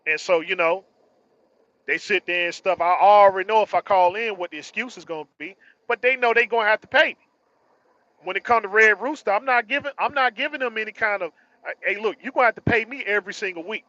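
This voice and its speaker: A man's voice: sits high, fast, pushed and bright, no echo, highs cut off. A man in his early forties giving a casual, slangy motivational monologue, emphatically repeating phrases for stress. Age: early 40s. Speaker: truck driver vlogger.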